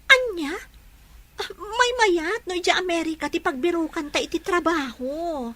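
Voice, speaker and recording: A woman's voice: very high-pitched, a little slow, sharp tone, heavily acted. An actress playing a pushy, exasperated woman in an Ilocano radio drama. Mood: insistent. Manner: insistent, exasperated questioning.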